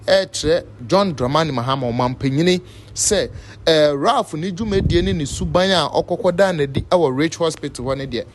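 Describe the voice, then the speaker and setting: A man's voice: a little high, slight background noise. A Ghanaian man presenting news commentary informally, repeating phrases and mixing in English words. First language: Twi.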